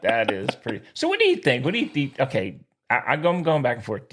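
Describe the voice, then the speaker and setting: A man's voice: mid-range voice, huge pitch swings, quick pace, fairly bright tone. A middle-aged man co-hosting a sports podcast, bantering casually in a playful, teasing, colloquial way.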